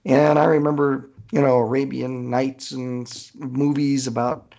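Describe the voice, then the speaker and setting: A man with a gravelly, husky voice answering casually in an interview as an author, chatty and thinking aloud. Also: mid-range voice, flat delivery, unhurried, mellow.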